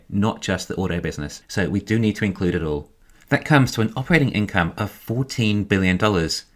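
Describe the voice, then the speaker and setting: Low-pitched man narrating financial analysis. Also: fairly bright tone. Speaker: YouTube finance commentator.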